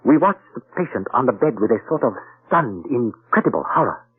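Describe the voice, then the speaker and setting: An actor playing a calm, courteous detective narrating his own case in an old radio drama. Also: mid-range voice, breathy edge. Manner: polite, measured narration. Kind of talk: 1940s radio acting. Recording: thin, phone-like old radio recording.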